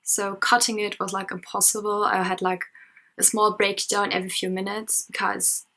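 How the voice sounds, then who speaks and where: Young woman, 19, german accent, bright clear tone, casual unscripted vlog, filler-heavy and self-conscious. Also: mid-range voice, little pitch movement, moderate pace, poor audio. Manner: self-conscious, chatty, many fillers and restarts. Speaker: history and sociology student. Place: her parents' house at night.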